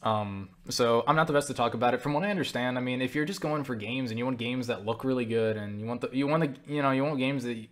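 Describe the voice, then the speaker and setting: A man's voice: medium pitch, quick pace, hardly any pauses, fairly bright tone. A man talking in a casual, rambling monologue as he answers viewer questions, stumbling over words, interrupting himself and speaking bluntly. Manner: blunt, swears freely.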